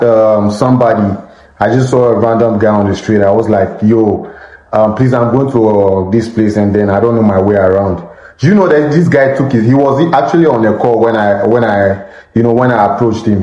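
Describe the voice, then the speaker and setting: Nigerian man, animated casual monologue with Pidgin, mellow voice, echoey dull recording. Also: lowish voice, normal speed. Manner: animated, Pidgin-laced banter.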